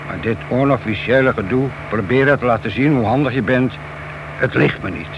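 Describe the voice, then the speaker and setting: A man's voice: mid-range voice, a little fast, lightly acted. An actor playing a cautious, by-the-book middle-aged policeman and family man in a radio play, sceptical and reserved. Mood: wary, firm. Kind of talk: radio play dialogue acting. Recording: dull old radio recording with hiss.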